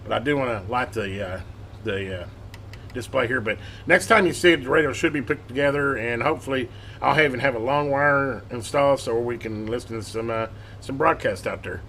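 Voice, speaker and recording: A man's voice: medium pitch, a little fast, pushed and bright. A man hosting a hobby electronics repair channel, giving a casual hands-on tutorial in a rambling, folksy, self-correcting way. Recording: clearly noisy workbench recording. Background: clear background noise.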